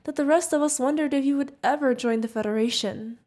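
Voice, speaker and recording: A woman's voice: sits high, fast, darkish tone, lightly acted. A woman playing a sweet, curious, friendly feathered alien bartender in an ASMR roleplay, chatting directly to the listener. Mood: friendly, chatty.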